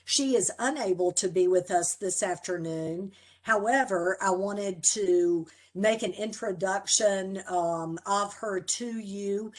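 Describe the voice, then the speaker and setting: A woman, the program coordinator, introducing faculty members one by one on a video call in a measured, formal way. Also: medium pitch. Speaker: program coordinator hosting orientation.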